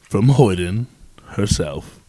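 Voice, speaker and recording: A man co-hosting a podcast in casual chat, talking haltingly as he works out his thoughts. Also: on the low side, lively intonation, warm tone, dry recording. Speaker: podcast co-host.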